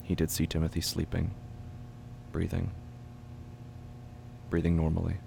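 A man reading scripted horror fiction as narrator, measured, deliberate and somber. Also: sits low, completely flat delivery, creaky. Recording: heavy constant background noise and hum.

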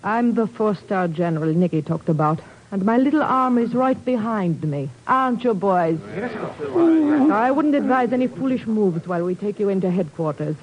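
Radio-drama villainess , female actor: icy, taunting commander, animated delivery, long reverb on a dull vintage recording. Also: medium pitch, normal speed, close to an everyday voice. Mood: smug, taunting. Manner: taunting, imperious, theatrical. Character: cold, mocking female spy chief and villain. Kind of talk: 1950s radio drama acting.

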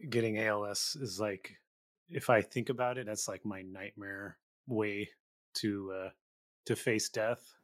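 A man hosting an interview podcast, with a fairly low voice. He speaks in a reflective way, searching for the right words. The sound is dry and close, with no room around it. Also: fairly even intonation, measured pace, a bit bright, no room sound. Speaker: podcast host.

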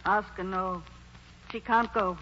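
An actress in a 1940s radio play as an earnest, weary, devoted daughter who works on the stage. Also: female voice, medium pitch, fairly even intonation, slow pace, bright, forceful tone. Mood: grave, resigned. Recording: muffled, noisy radio broadcast, hall-like reverb.

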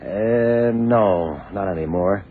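An actor playing an evasive young lawyer in a radio drama, talking in a hesitant, defensive, colloquial way. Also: male voice, on the low side, slow pace, narrow, tinny audio. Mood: defensive, casual. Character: evasive, ambitious young American male lawyer. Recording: thin, noisy 1960s radio drama recording.

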